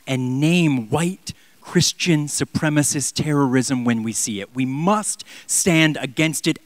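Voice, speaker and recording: A man in his thirties preaching a sermon in a church on a handheld mic, impassioned, swinging from loud emphatic peaks down to near-whispers. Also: fairly high pitch, no echo. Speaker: pastor. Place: large church sanctuary.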